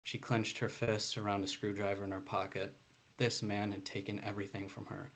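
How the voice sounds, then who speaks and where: Low, even-voiced man, author reading. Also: brisk, poor audio. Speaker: debut author reading from own novel. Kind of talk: reading a prose passage aloud, with some informal introduction.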